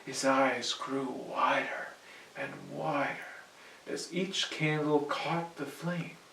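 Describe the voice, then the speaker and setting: A man reading a children's story dramatically, moving between hushed suspense and sudden swells. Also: mid-range voice, steady tone, slow, gravelly, slight background noise. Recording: roomy, echoing, distant mic.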